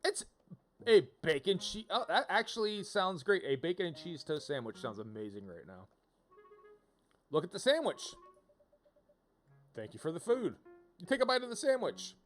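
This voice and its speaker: A man's voice: high-pitched, sing-song, normal speed, long pauses, faint music behind. A man giving casual, rambling game livestream commentary, with wry playful asides as he reads on-screen text aloud.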